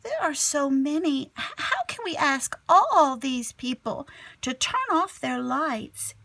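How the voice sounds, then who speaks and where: Lady doing eager little schoolgirl from a storybook, pitched up, earnest n excited. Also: slow, no echo, exaggerated voice. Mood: earnest, worried.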